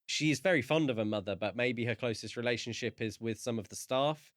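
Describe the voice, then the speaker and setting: A man co-hosting a podcast, discussing a book casually in halting, thoughtful phrases. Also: medium pitch, no room sound, clean studio sound. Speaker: podcast co-host.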